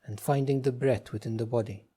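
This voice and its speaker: A man leading a guided meditation, giving gentle, unhurried instructions with frequent pauses. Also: medium pitch, fairly even intonation, breathy edge.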